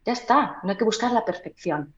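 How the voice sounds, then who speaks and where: A woman's voice: medium pitch, expressive, brisk. A woman from Huelva, giving emphatic, conversational advice as an interview guest who trains voices. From Huelva, Andalusia, Spain. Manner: emphatic, didactic, checks in with listeners. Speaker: guest, voice trainer and speech expert. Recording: dull, roomy, echoey sound.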